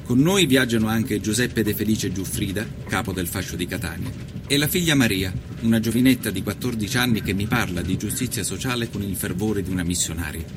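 An actor playing an observant, composed newspaper journalist, narrating a scripted historical documentary. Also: male voice, fairly low pitch, a little fast, background noise, natural voice.

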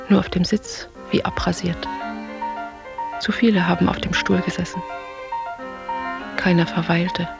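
Creaky-voiced pensive woman over music. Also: lowish voice. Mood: dreamlike, watchful. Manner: measured, introspective. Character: pensive, detached woman narrating her memories and dreams. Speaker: actress narrating in a radio play. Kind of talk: literary first-person narration in short sentences.

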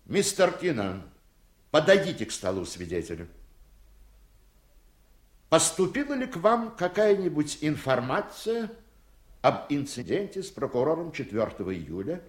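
Строгого судьи, гулкое помещение. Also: male voice, fairly high pitch, very animated, measured pace, pauses often. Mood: stern. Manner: formal, authoritative courtroom delivery. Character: stern, irritable male judge, formal and commanding. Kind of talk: Soviet radio play acting. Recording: roomy, echoing radio drama recording.

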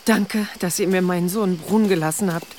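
A woman's voice: lowish voice, normal speed, background noise, natural voice. An actress playing a determined pagan herbalist and devoted mother in a medieval radio drama, speaking earnestly. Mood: reverent, grateful. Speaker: actor in a radio play. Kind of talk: German radio drama acting.

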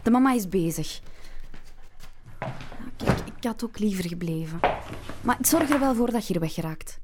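A woman's voice: medium pitch, animated, moderate pace, noisy background, lightly acted. An actress with a Flemish accent playing an exasperated woman in a breakup who dotes on her dog, in a naturalistic comedy radio play. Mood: coaxing, tender.

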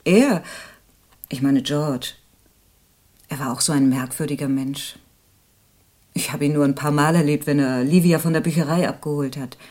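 A woman's voice: deep voice, steady tone, moderate pace, long pauses, a little mellow, close to an everyday voice. An actress playing a gossipy, pitying female friend who confides her opinions in an audio drama. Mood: confiding, disapproving. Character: gossipy, pitying woman, loyal friend testifying.

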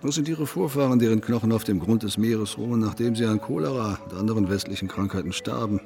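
An actor in a German radio drama playing a cool, cynical pragmatist, blunt, needling and matter-of-fact. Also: male voice, on the low side, quick pace, gravelly, darkish tone, soft background music. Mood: stern, provoking. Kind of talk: radio drama acting, literary dialogue.

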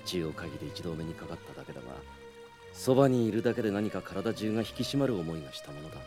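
An actor playing a stern knight commander who speaks formally and with authority in a radio drama. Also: male voice, deep voice, lively intonation, rapid-fire, a little mellow. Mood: measured, reflective. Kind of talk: Japanese radio drama acting. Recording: dry studio with background music.

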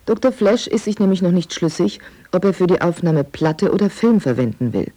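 A newspaper report, read as a scripted quotation. The male voice is pitched high, with a mellow, rounded tone. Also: very animated, a little fast. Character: newspaper article voice reporting on radio production.

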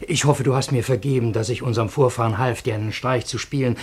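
An actor playing a meek Parisian bookseller in a radio drama, husky, hesitant and deferential with a dry irony. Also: male voice, medium pitch, fairly even intonation, fast. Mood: flustered, flattering. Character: meek, hesitant male Parisian bookseller, appeasing his relative. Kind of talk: German radio drama acting.

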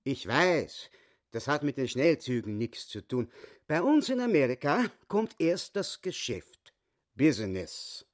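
An actor in a German radio play voicing a boastful, indignant little swindler posing as a grand gentleman, pompous and theatrical. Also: mid-range voice, big pitch swings, a little slow, dry recording, studio quality. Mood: haughty.

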